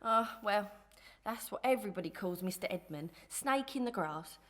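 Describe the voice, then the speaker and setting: A woman playing a lively, gossiping young servant in an audio melodrama, chatty and emphatic, pert but good-hearted. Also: mid-range voice, normal speed, natural voice. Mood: emphatic, indignant.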